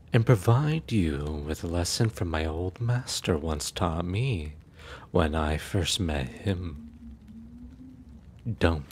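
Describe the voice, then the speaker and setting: A man's voice: low-pitched, a little mellow, a little hiss or hum. A man playing a suave, menacing immortal prince in an ASMR roleplay, speaking in a formal, courtly, teasing and possessive way.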